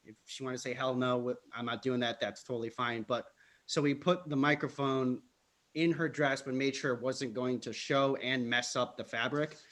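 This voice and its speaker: A man's voice: medium pitch, flat delivery, moderate pace, fairly bright tone. A man chatting casually, telling a story in a conversational, explanatory way. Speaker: wedding videographer, guest vendor.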